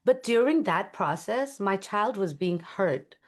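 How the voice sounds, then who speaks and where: A woman's voice: medium pitch, animated, unhurried. A woman from Thailand, an interview guest and musician, speaking earnestly and reflectively about her life. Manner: earnest, reflective, with soft laughs. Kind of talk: conversational interview.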